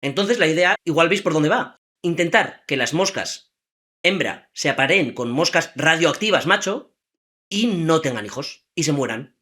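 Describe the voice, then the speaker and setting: Lively man. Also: fairly high pitch, normal speed. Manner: lively, asks listeners rhetorical questions. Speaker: trivia podcast host. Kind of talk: casual storytelling chat.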